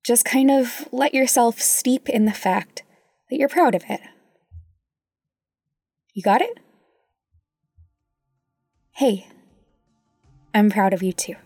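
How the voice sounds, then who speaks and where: A woman podcast host speaking softly and informally to listeners in intimate guided reflection, sometimes dropping toward a whisper. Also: a little high, slow pace, creaky, pauses often, no room sound, clean studio sound, faint music behind.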